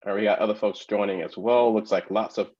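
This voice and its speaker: A low-pitched man hosts an online conference session in an encouraging, easygoing way, padding his talk with fillers. His voice comes through dull, lo-fi video-call audio. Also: fairly even intonation, fast talker. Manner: warm, encouraging, lots of fillers. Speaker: host and workshop presenter. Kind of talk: welcoming conference webinar talk.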